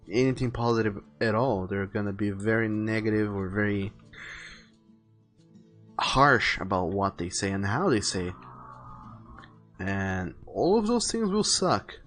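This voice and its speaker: A man hosting a casual art livestream, talking to viewers in an earnest, conversational way. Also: lowish voice. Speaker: livestreaming artist and host. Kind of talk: casual livestream chat, reading viewer messages aloud and giving advice. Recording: music behind the voice. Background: faint background music.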